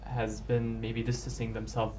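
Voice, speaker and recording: A man hosting a podcast, asking questions in a measured, hesitant way with many "uh"s. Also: on the low side, completely flat delivery, warm tone, slight background noise, low-quality recording. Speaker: podcast host. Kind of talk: casual interview.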